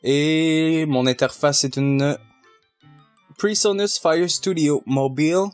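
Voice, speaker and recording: A man who handles audio for a fan dub, giving a casual, hesitant answer full of pauses. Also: a little high, soft background music. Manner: rambling, hesitant, technical. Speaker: fandub audio engineer.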